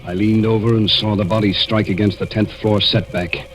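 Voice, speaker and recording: An American actor playing a cold, scheming murderer who narrates deliberately and suspensefully in a 1940s radio mystery. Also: male voice, lowish voice, level tone, lightly acted. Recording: vintage 1940s radio recording, music behind.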